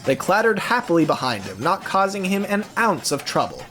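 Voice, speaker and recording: A man narrating a scripted audio story in an expressive storytelling manner. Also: high-pitched, a little fast, a little hiss or hum.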